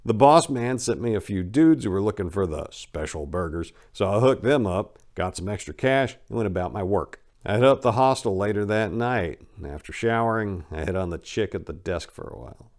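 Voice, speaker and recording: A man from the United States narrates a first-person memoir as a dramatic reading. His voice sits on the low side. He tells it in a gritty, irreverent way, like someone recounting his own rough past. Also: expressive, moderate pace, few pauses, darkish tone. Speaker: audiobook narrator.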